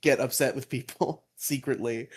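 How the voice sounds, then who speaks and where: A man with a fairly high voice, co-hosting a podcast in casual chat, laughing often, on a headset mic. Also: darkish tone, breathy edge. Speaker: podcast co-host.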